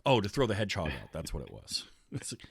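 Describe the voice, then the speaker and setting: A man's voice: low-pitched, huge pitch swings, fast talker, gravelly. A man talking casually about films as a podcast host. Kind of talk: casual movie discussion.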